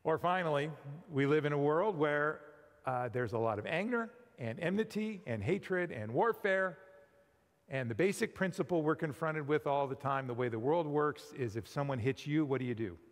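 A middle-aged male pastor preaches in a lively, talky way, his fairly high voice leaping up and down as he tosses rhetorical questions at the congregation. His words ring out through a big church sanctuary, trailing a long reverb. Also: moderate pace. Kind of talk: sermon. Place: large church sanctuary with pipe organ.

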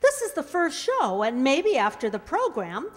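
Lively, wry woman playing a radio star. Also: sits high, moderate pace. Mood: incredulous, breezy. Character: wry, breezy female radio star at home. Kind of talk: old-time radio comedy acting. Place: stage in a large hall. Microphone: stand microphone. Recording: echoey hall stage performance.

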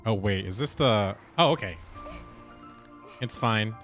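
A man in his thirties gushing through an excited reaction to a game trailer, recorded on a close studio mic in a home streaming room. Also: lowish voice. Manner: animated, gushing, quick exclamations. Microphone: studio mic on boom arm, headset. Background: game trailer music.

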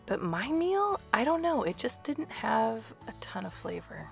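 A woman's voice: on the high side, very animated, measured pace, vocal fry, over loud music. A woman in her thirties chatting casually through a home cooking vlog voice-over, with light laughs. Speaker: family vlogger.

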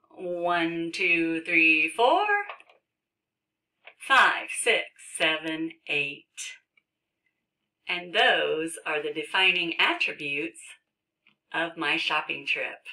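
A woman teacher on a video call, slowly counting aloud for young children in an online math lesson. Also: fairly low pitch, pushed and bright, far from the mic.

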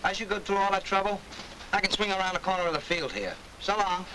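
An actor playing a careless, breezy American army truck driver in a 1940s film. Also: male voice, fast. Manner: breezy, offhand. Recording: very noisy old film, vehicle rumble.

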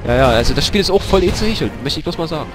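A man's voice: a little high, expressive, very fast, over music. A man casually commenting a co-op game, chatty and swearing whenever he dies. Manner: chatty, swears, laughs at deaths. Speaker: let's play commentator. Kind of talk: casual gaming chat.

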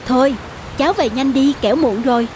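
An actress playing a kind, gentle, persuasive woman passer-by in a cartoon. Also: high voice, fairly quick, noisy background, natural voice. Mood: caring. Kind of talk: cartoon dialogue.

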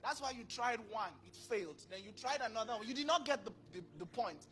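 A man in his thirties preaching a sermon into a handheld mic on a large church stage, acting out dialogue with sudden bursts of loudness. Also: extremely high pitch, a little fast. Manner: animated, acts out dialogue, bursts of volume. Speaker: pastor.

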